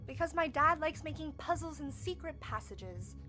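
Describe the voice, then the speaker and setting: A woman's voice: sits high, animated, normal speed. A woman playing a teenage former child star in a horror-comic video game, with a melodramatic manner. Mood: tense, urgent. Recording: loud dramatic music behind.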